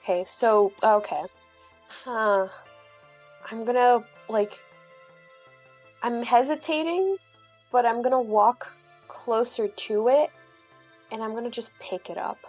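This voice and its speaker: A woman's voice: on the high side, takes it slowly, pauses often, darkish tone, soft background music, rough recording. A woman playing a tabletop roleplaying game, improvising casually and thinking aloud in a hesitant, informal way.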